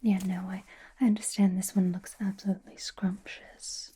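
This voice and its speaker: A woman playing a seductive, possessive female vampire in an ASMR roleplay, teasing and doting in a soft, breathy whisper. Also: mid-range voice, little pitch movement. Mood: smug, possessive. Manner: teasing, doting, slow and deliberate.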